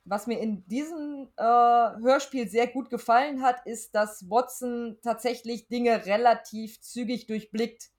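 A woman's voice: fairly high pitch, steady tone, measured pace, hardly any pauses, a little mellow. A woman talks casually as a podcast co-host. She is colloquial and blunt, giving her opinions freely in a conversational review style. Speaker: podcast co-host discussing an audio drama.

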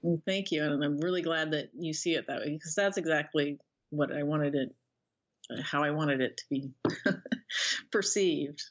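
A woman's voice: sits low, unhurried. A woman speaking casually as a guest author in an interview, thinking aloud in a rambling, self-effacing way. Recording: dull lo-fi call audio.

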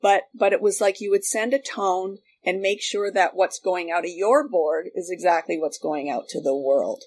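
A woman's voice: lowish voice, steady tone, normal speed, darkish tone, slightly rough. Woman chatting casually, circling as she explains. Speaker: guest, former radio studio technician. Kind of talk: casual reminiscing chat.

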